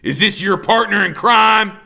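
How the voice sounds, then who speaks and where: Man acting a menacing, domineering father, sharp and forceful. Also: sits high, measured pace, vocal fry, voice pushed up, exaggerated voice. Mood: menacing, scornful. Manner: threatening, scornful, domineering. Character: menacing violent father, cold killer. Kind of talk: dramatic audiobook character reading.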